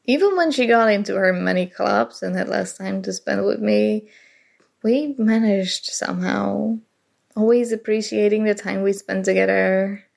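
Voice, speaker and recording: A woman playing a shy, awkward college-age roommate in an intimate ASMR roleplay, speaking haltingly and nervously. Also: medium pitch, gravelly, a little mellow. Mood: nostalgic. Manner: hesitant, halting, sighing.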